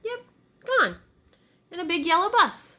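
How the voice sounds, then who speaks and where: A woman's voice: extremely high pitch, big pitch swings, a little slow, bright, forceful tone, voice pushed up, heavily acted. A woman voicing a nonchalant storybook farm dog who gives blunt, punchy answers.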